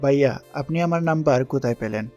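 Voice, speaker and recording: A man's voice: mid-range voice, fast, mellow, faint music behind, natural voice. Man acting a wary, deferential young friend. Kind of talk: Bengali audio story acting.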